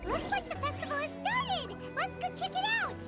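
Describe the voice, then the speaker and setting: Super high squeaky lil fairy for a game, perky, cheeky, voice bouncing around, music behind. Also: female voice, big pitch swings, normal speed, pushed and bright, full character voice. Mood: eager. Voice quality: squeaky, childlike. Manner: chirpy, refers to self in third person. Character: tiny childlike floating fairy companion, perky and cheeky. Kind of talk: video game cutscene acting.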